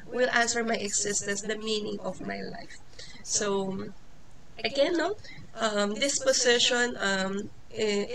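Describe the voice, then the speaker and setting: Filipino woman in her forties, unhurried informal class talk, hesitant with lots of fillers, crowd murmur behind. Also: a little high, creaky, rough recording. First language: Tagalog. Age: 40s. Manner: hesitant, many fillers and restarts, laughs often. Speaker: teacher. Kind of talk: informal lecture.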